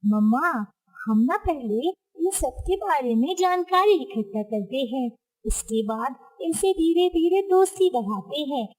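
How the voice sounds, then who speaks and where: A woman's voice: high-pitched, animated, a little slow, a little mellow, poor audio. A woman voicing an eager, sly young male crow child in a cartoon story.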